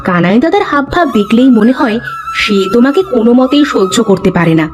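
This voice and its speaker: A woman voicing a concerned, shrewd and devout village fisherman's wife in an animated folk tale, expressive and caring. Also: a little high, brisk. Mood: suspicious. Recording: music behind the voice.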